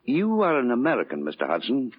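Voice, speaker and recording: An actor playing a busy, skeptical Secretary of State in a 1950s radio drama, formal, brisk and dryly dismissive. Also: male voice, medium pitch, wildly swooping pitch, husky. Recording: muffled 1950s radio broadcast recording.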